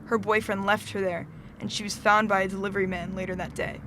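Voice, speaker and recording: A woman acting a composed, earnest middle-aged therapist in a student audio drama, speaking in a measured, professional way. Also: medium pitch, flat delivery, noisy background, close to an everyday voice. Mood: earnest, sober.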